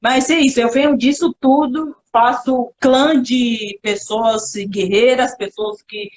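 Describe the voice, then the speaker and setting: A woman's voice: on the high side, fairly even intonation. Kalapalo-speaking woman on a video call. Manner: thoughtful, halting Portuguese with restarts. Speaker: guest. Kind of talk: casual live interview.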